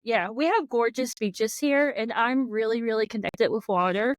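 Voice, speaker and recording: A woman's voice: a little high, moderate pace, no echo. A woman from Florida with a Floridian American accent, hosting a casual podcast chat and filling her talk with "like" and "yeah.". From Florida, United States. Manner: chatty, lots of "like" and "yeah". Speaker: podcast host. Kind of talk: casual conversation.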